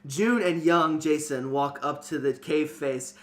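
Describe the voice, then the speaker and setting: A narrator reading a story aloud for amateur reader's theater in a deliberate, slightly halting way. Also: medium pitch.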